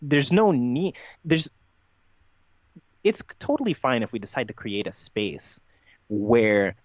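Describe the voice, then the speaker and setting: A man's voice: mid-range voice, sing-song, takes it slowly. A man in a conversational podcast discussion, explaining his views in an opinionated, emphatic way. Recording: dull, roomy, echoey podcast audio.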